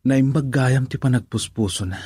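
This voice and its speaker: A man's voice: medium pitch, moderate pace, dark tone, slightly rough, no room sound, studio quality, close to an everyday voice. A man with an Ilocano accent playing a humble, lovelorn young working man in a radio drama. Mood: brooding.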